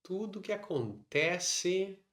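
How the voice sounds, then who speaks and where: A man with a Brazilian Portuguese accent leading a guided meditation, instructing in short phrases separated by long pauses. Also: high voice, expressive, fairly bright tone. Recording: roomy, echoing sound.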